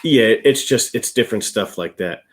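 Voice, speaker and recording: Male co-host, middle-aged, on the low side. Loose, chatty review delivery that circles back and repeats words. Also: lively intonation, moderate pace, husky. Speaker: co-host of a board game review show. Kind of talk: casual chat, reviewing board games.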